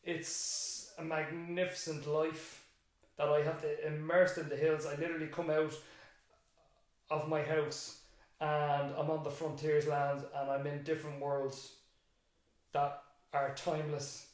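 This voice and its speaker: A man's voice: on the high side, level tone, measured pace. An Irish man, a teacher, talking reflectively in a casual interview and building up emphatic lists. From Ireland. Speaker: teacher, podcast guest. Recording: very echoey room.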